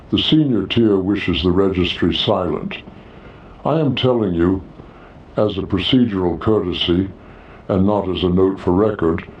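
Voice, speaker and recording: Deep echoing alien court envoy, played by a man. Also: recorded from a distance, lo-fi sound. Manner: measured, formal, procedural phrasing. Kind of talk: audio sci-fi drama.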